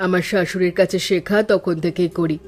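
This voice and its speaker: A man's voice: sits high, flat delivery, brisk, soft background music, close to an everyday voice. Man voicing a wise old mother-in-law. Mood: gentle, advising. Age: older. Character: calm elderly mother-in-law, gentle and wise. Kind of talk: cartoon voice acting.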